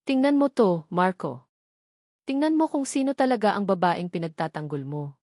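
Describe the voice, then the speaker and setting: A woman's voice: medium pitch, animated, moderate pace, mellow, no echo, clean studio sound, natural voice. A woman voicing a vengeful, triumphant rich young woman in a story, emphatic and accusing.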